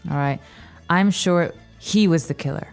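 Low-voiced woman teaching slowly. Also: soft background music. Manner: slow, clear, teacherly. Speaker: language podcast host. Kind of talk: explaining a lesson dialogue.

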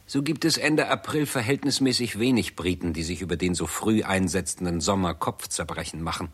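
An actor playing a grave narrator, reading a scripted science fiction chronicle in a formal, measured way for a 1960s radio play. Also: male voice, low voice, husky. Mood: grave, factual.

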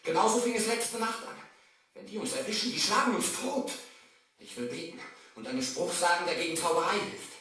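A fearful, devout servant in an old radio play, agitated and dramatic. His voice is sharp and fairly high, set far back in a very reverberant sound. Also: brisk. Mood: panicked. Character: frightened, pious male servant, loyal and excitable. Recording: echoey distant old vinyl record.

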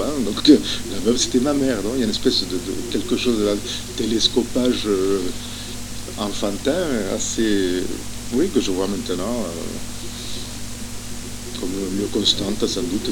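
An older man from Spain giving a hesitant, reminiscing talk full of fillers and restarts, telling stories from his childhood. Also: fairly low pitch. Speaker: guest speaker, former academic and author. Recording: noticeable background noise and hum.

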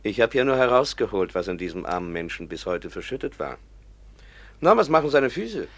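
Caring old-time professor in a radio drama, mid voice, very animated, patient explainer. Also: male voice, medium pitch, a little fast. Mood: reflective, explaining. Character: learned, caring 19th-century German professor. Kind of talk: radio play acting.

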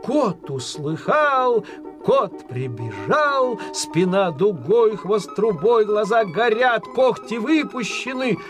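A man's voice: high-pitched, sing-song, takes it slowly, music in the background. A man narrating a children's fairy tale in a folksy, theatrical storytelling style.

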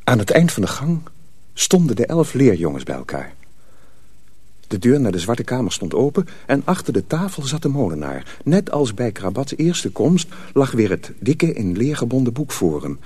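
A man narrating a dramatised story as a scripted, dramatic storyteller, with a husky voice. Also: mid-range voice, big pitch swings, normal speed, darkish tone, no room sound.

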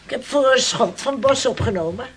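A woman's voice: a little high, animated, normal speed, lightly acted. An actress playing an anxious, admonishing older woman, a fretful village aunt in a Dutch radio play. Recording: noisy radio play recording with clicks.

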